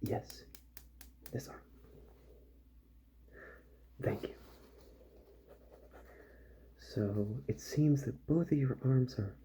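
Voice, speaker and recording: A man doing a soft-spoken ASMR roleplay as a calm, methodical repair doctor, musing gently to himself and often drifting into a whisper. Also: medium pitch, very slow, pauses often. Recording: muffled audio with faint background noise.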